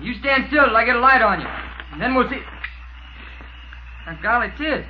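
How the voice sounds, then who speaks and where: A man playing a suspicious, simple, defensive country fellow with a rural American accent and an excitable, stubborn manner in a radio drama. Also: extremely high pitch, normal speed. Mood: jumpy, suspicious. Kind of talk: 1950s radio drama acting. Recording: dull, noisy old radio recording.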